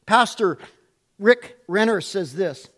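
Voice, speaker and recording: High-voiced pastor preaching a sermon. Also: male voice, slow. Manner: loud voice that rises when excited; repeats rhetorical questions.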